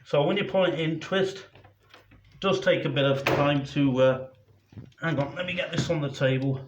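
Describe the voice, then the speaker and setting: A man's voice: a little high, moderate pace, slight background noise. A man, a DJ, giving a casual how-to demonstration while handling gear, rambling and restarting sentences as he goes. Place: room with a camera operator. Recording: roomy, slightly dull home video audio.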